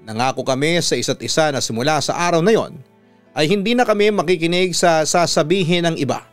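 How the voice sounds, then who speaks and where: Smooth Filipino male storyteller, higher-pitched voice. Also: no echo. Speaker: radio announcer reading listener letters. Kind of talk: scripted dramatic first-person story narration.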